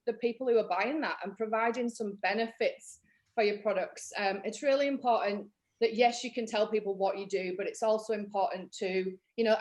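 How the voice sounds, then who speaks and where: A woman from Leeds with a Yorkshire accent, running a marketing workshop on a webinar in a chatty, practical way, with frequent ums and you-knows. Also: mid-range voice. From Leeds, England. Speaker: marketing trainer.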